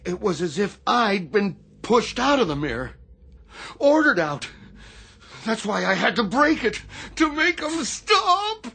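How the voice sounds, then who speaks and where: A woman playing a shaken, frightened Navy chief in a radio drama, speaking haltingly. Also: mid-range voice, sing-song, a bit bright, breathy edge, exaggerated voice. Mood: frightened, haunted. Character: shaken, haunted Navy chief named Bell.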